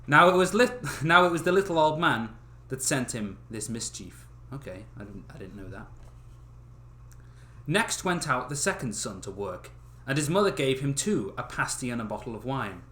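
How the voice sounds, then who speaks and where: A young man reading stories aloud on a livestream in a casual, expressive way, swearing and breaking off with joking asides. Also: medium pitch, normal speed. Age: young adult. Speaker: livestream host reading fairy tales aloud. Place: small bedroom. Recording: roomy echo of a small room.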